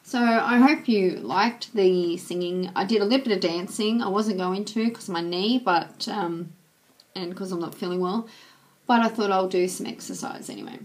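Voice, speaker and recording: A young woman with a cold, chatting casually to her webcam in a small room at home, soft and hoarse, with many ums. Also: medium pitch, lively intonation, normal speed. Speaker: YouTuber. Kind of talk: casual vlog chat to camera. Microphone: webcam at close range.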